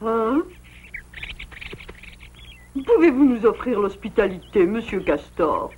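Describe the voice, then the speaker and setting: A woman voicing an anxious, protective mother bear in a French animated cartoon, with a fretful, emphatic delivery. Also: on the high side, takes it slowly, breathy edge, narrow, tinny audio, exaggerated voice. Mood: worried, hesitant. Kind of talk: French animated series dubbing.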